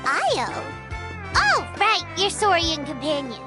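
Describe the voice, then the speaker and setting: A woman voicing a tiny, excitable fairy companion with a squeaky, childlike voice in a video game. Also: takes it slowly, over music, full character voice. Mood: curious, surprised. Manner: exclamatory, chirpy.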